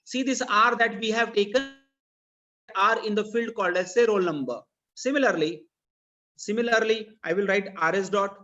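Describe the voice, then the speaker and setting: A man's voice: high-pitched, pushed and bright. A man with an Indian accent teaching programming in a live online lesson, explaining didactically and repeating phrases for emphasis. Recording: dull, lo-fi online meeting audio.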